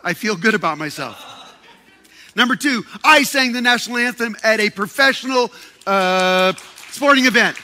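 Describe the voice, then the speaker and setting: A man's voice: high-pitched, lively intonation, normal speed, pushed and bright. A man speaking to a group in a casual, sermon-like talk. He is playful and joking, holding a handheld mic and often repeating and restarting his words. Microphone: handheld microphone.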